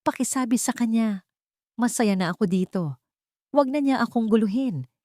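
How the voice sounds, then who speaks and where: A woman's voice: a little high, normal speed, darkish tone, no room sound, studio quality, pitched above the performer's own voice, lightly acted. A woman voicing a hurt, guarded female baker in an audio romance, cold and curt.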